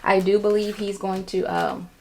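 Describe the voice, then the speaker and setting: A woman vlogging casually and reflectively, sounding nervous, with halting speech that circles back and repeats phrases for emphasis. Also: mid-range voice, little pitch movement, background noise. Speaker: vlogger, writer and storyteller. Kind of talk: casual, reflective vlog talk.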